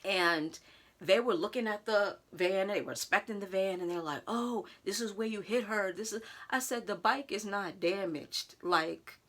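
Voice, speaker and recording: A woman vlogger telling a personal story straight to her viewers in a casual, animated way. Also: mid-range voice, moderate pace. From United States. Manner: animated, talks straight to viewers with "y'all", acts out others' lines.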